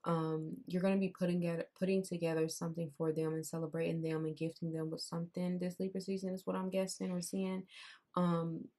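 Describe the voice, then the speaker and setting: Low, flat-voiced woman reading tarot. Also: a little fast. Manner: conversational, repeats herself, says "I'm seeing" often. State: raspy voice. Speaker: tarot reader. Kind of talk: casual pick-a-card tarot reading.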